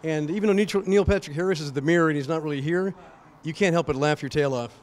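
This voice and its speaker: A man's voice: fairly high pitch, quick pace. A man hosting an upbeat on-camera interview, chatting in an effusive way. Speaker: interviewer and show host.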